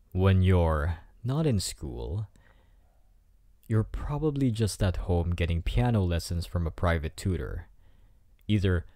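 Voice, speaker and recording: A man's voice: low-pitched, dark tone, dry recording, full character voice. Guy playing a cocky flirty delinquent, asmr roleplay, teasing, really swoopy intonation, dry close mic. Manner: teasing, smug, drawn-out delivery. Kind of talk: intimate ASMR roleplay acting. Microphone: close microphone in a dry space.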